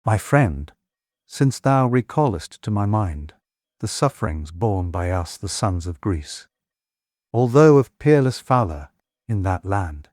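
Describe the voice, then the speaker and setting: A man's voice: on the low side, sing-song, slow pace, darkish tone, dry recording, studio quality. An actor playing a grave, long-winded old warrior king, recounting war in stately oratory for an epic audiobook drama. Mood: grave, sorrowful.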